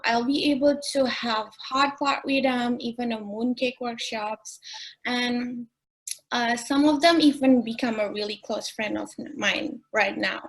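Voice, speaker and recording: Chatty young Indonesian woman, husky. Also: a little high, fairly bright tone, poor audio. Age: 20s. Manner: chatty, many fillers. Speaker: student ambassador. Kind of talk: casual sharing on video call. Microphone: video call.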